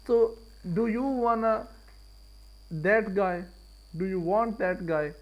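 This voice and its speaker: Casting: male live-stream trading host, Pakistani accent, voice a bit on the high side, casual and repetitive for emphasis. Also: takes it slowly, lo-fi sound. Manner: repeats and rephrases points for emphasis.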